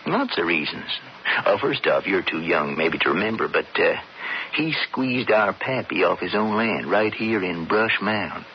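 An actor playing a sly, bitter older-brother cowboy in a radio western, persuasive and folksy with an American Western drawl. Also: male voice, low voice, lively intonation, measured pace. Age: adult, older brother. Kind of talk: radio western drama acting. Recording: muffled, hissy 1970s radio broadcast.

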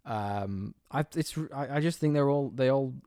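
A man's voice: mid-range voice, mellow, no room sound. A man talking films on a podcast, eager and chatty, laughing easily and stumbling over his words as he goes. His voice sits in a middling register and comes across dry and close, with no room sound. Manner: enthusiastic, quick to laugh, many restarts. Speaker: podcast co-host. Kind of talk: casual film review chat.

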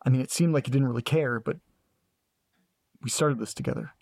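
A man's voice: mid-range voice, brisk, creaky, darkish tone, no room sound, clean studio sound. A man playing an uncertain but curious character in an audio drama, tentative and thinking aloud. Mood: musing, torn. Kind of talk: scripted audio drama dialogue.

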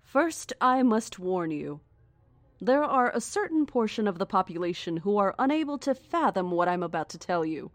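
A woman's voice: medium pitch, very animated, no room sound, natural voice. Woman playing a serene, menacing cult leader, drily recorded. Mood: solemn warning. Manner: deliberate, formal, condescending, grandiose. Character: serene, menacing female cult leader preaching cosmic doom. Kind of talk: horror audio drama acting, live radio address.

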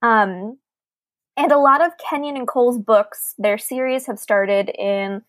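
A woman with a fairly high voice co-hosts a podcast. She speaks in an articulate, conversational way, whether reading aloud or chatting. The sound is close and dry, with very little room in it. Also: unhurried, a bit bright, no echo. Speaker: podcast co-host. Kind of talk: reading listener email aloud and casual book discussion.

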